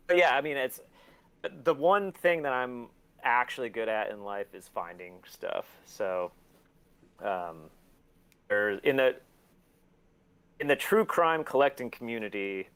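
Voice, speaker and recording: A man's voice: lowish voice, a bit bright. A man chatting casually as an interview guest, candid, profane and self-deprecating, telling rambling stories and acting out quotes. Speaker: guest, collector.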